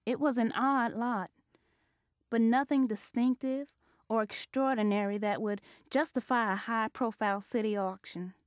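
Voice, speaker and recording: A woman playing the narrator of a ghost story audio drama, telling it in a measured, literate way. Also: a little high, fairly even intonation, smooth, no echo, studio quality, close to an everyday voice. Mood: composed.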